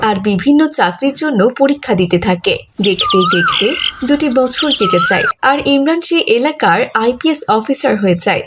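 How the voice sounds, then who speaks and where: A woman's voice: a little high, background noise. A woman narrating a cartoon story from a script, as a storyteller.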